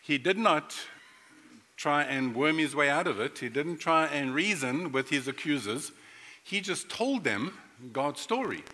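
A bright, fairly high-voiced middle-aged man preaching a sermon. He talks playfully and speaks straight to the congregation. His voice rings with a long reverb through the sanctuary. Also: expressive, measured pace. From South Africa. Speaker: pastor. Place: church sanctuary.

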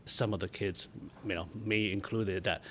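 Low-voiced man from Taiwan, noisy recording. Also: steady tone, moderate pace, a bit bright. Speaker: interview guest, architect. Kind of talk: casual reminiscing interview. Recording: noticeable background noise.

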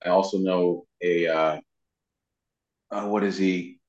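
A low-pitched American man in his fifties talks casually in an interview. His delivery wanders along in a conversational way. The recording is slightly dull and carries a clear room echo. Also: fairly even intonation, takes it slowly, darkish tone. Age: 50s. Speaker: interview guest, web marketing professional. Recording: muffled lo-fi sound in echoey room.

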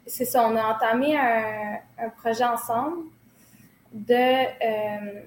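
A woman's voice: fairly high pitch, slow. A woman in her thirties with a Québécois accent, speaking as an interview guest in a reflective, hesitant way with many fillers and restarts. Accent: Québécois French. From Quebec. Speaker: guest, choreographer. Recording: roomy recording, faint noise.

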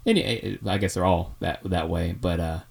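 Gravelly guy hosting a podcast, lowish voice, rambles a lot. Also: moderate pace. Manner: rambling, with many fillers and restarts. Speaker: podcast co-host. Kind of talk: casual podcast chat.

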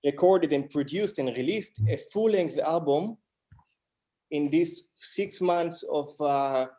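A man's voice: a little high, takes it slowly, dark tone. Israeli-accented man telling stories casually. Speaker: band musician, interview guest. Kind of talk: casual interview chat, storytelling.

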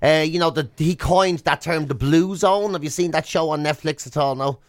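A man with an Irish accent co-hosting a radio show in casual, teasing, animated chat. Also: fairly high pitch, normal speed, dry recording. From Ireland.

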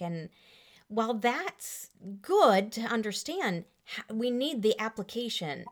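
A woman hosting a podcast, talking in an earnest and reflective way. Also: medium pitch. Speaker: podcast host. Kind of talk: casual interview talk.

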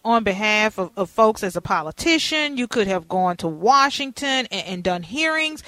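A woman's voice: a little high, a bit bright, no room sound. A woman hosting a radio show, introducing and interviewing a guest in a conversational way with frequent fillers.

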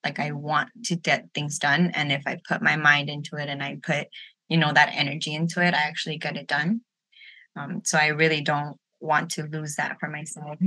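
A woman's voice: low voice, flat delivery, normal speed, pushed and bright, poor audio. A woman in her late twenties hosting a casual roundtable podcast, talking informally and candidly with a playful streak. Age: late 20s. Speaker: podcast host.

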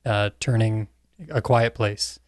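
A man's voice: on the low side, flat delivery, slow, dry recording, studio quality. A middle-aged man chatting casually as a podcast co-host, sharing thoughts and anecdotes.

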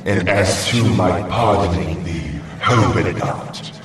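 A man's voice: sits low, takes it slowly. A man voicing a menacing Ifrit demon in an audio drama, imperious and pronouncing doom in archaic English. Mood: cold, threatening. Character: menacing male Ifrit demon casting spells. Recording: distant, heavily echoing, music underneath.

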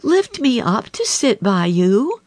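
A woman voicing a small, persistent male frog in a storybook reading, calling out in a high, plaintive voice. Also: big pitch swings, slow pace, a little mellow, no echo, heavily acted. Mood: insistent.

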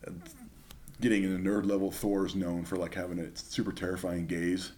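Deep-voiced chatty young man. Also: level tone, brisk, darkish tone, slight background noise. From Northern California. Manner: chatty storyteller. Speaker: podcast guest. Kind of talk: casual chat.

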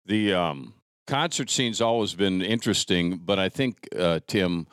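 A man's voice: low voice, expressive, a little slow, a bit bright, clear, resonant tone, dry recording, studio quality. A middle-aged American man hosting a casual chat, reminiscing in a rambling, conversational way. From rural United States. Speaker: podcast host.

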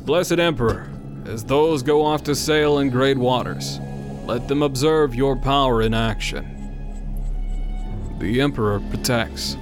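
A man's voice: mid-range voice, unhurried, lightly acted. A man acting a commanding, impatient, pious leader of space scavengers in an audio drama. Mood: solemn. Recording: loud music behind.

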